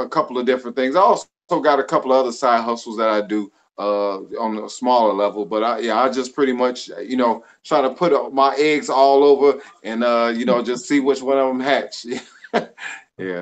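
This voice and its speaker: A man in his forties chatting as a livestream guest, laid-back and halting, with many uh fillers. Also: medium pitch. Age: 40s. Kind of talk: casual live-stream chat.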